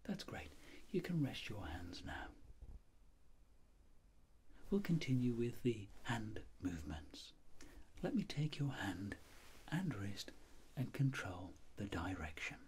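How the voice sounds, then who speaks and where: A middle-aged man speaking in soft whispered ASMR, playing a clinic doctor giving gentle, unhurried instructions. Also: on the low side, sing-song, slight background noise.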